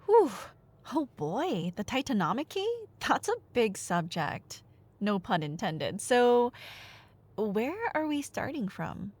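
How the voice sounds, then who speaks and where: Woman, higher voice, very animated intonation, unhurried, playful nerdy rambling, casual storytelling, dramatic pauses. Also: no echo. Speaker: ASMR roleplay audio performer playing a talkative girlfriend. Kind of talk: casual roleplay monologue, storytelling.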